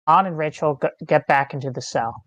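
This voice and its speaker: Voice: medium pitch, fairly quick, fairly bright tone, no echo. A narrator reading screenplay stage directions in terse, dramatic phrases. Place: online meeting, close dry mic.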